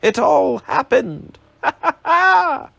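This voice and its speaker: A man voicing an overjoyed elderly miser who exclaims, laughing and crying at once, in a gravelly voice. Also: medium pitch, lively intonation, takes it slowly, fairly bright tone, dry recording, full character voice. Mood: giddy joyful.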